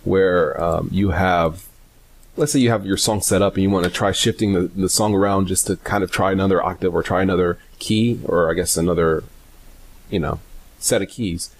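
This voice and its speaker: A man presenting a casual, unscripted software tutorial in a hesitant, rambling way, often correcting himself. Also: low-pitched, fairly even intonation. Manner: hesitant, halting, self-correcting, rambling delivery.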